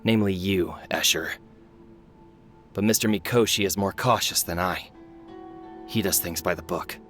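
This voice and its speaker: Forge master, game drama: somewhat low, grave, weary and earnest, holding back distrust. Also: male voice, a bit bright, soft background music. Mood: suspicious. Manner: grave, deliberate, restrained accusation. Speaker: video game voice actor. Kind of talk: scripted game dialogue.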